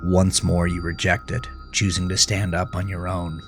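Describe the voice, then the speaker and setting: A man narrating a horror story with a grave, deliberate, suspenseful delivery. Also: low voice, level tone, fairly bright tone, faint music behind. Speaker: author and narrator of horror podcast. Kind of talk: dramatic second-person horror story narration.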